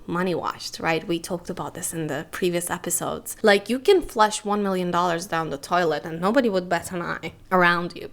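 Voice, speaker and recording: A young woman from Turkey hosting her own podcast, talking candidly and emphatically in a solo monologue. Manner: candid, emphatic, stresses words with rising pitch. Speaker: podcast host.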